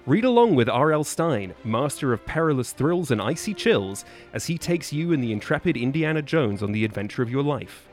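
A man's voice: mid-range voice, very animated, fairly quick, hardly any pauses, faint music behind. A man reading scripted adventure copy aloud in a dramatic storytelling style. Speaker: podcast guest reading aloud.

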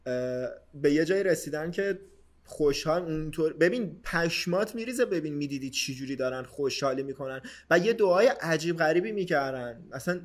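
A man's voice: fairly high pitch, expressive. A man, talking as a podcast host in casual, slangy speech sprinkled with English words. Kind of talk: casual conversational podcast chat.

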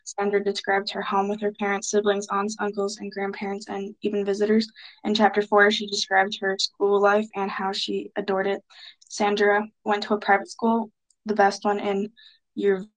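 A woman's voice: medium pitch, completely flat delivery, moderate pace, low-quality recording. A woman leading a student book discussion, summarizing chapters and asking questions in a hesitant way with many fillers.

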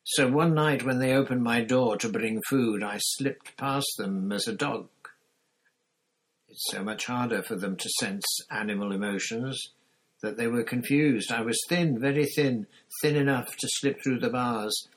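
A man's voice: mid-range voice, measured pace, pitched above the performer's own voice, natural voice. A man voicing a gaunt, bitter escaped prisoner who speaks with harsh intensity in a storybook reading. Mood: intense.

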